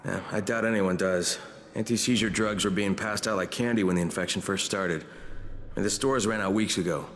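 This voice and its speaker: A man's voice: low-pitched, steady tone, a little fast, fairly bright tone, a little hiss or hum. A man playing a tough, earnest undercover operative in a video game, talking bluntly and with urgency. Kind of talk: dramatic game cutscene acting.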